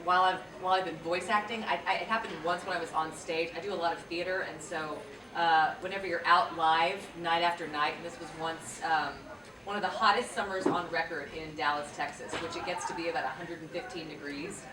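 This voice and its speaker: A woman's voice: fairly low pitch, steady tone, a little fast. A woman voice actress talking casually on a convention panel, chatty and full of restarts. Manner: chatty, with frequent restarts and fillers. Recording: echoey hall, distant mic, noisy.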